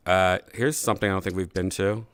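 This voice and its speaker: A man's voice: low voice, fairly even intonation, fairly quick, a bit bright, smooth, dry recording. A man hosting a casual online hangout, chatting in a jokey way and acting out imagined quotes. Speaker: host of a VR hangout stream. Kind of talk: casual group chat.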